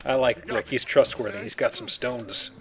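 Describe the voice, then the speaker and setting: A man's voice: mid-range voice, fairly even intonation, normal speed. A man hosting a let's play with casual, playful commentary and jokey asides. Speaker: let's play host and player.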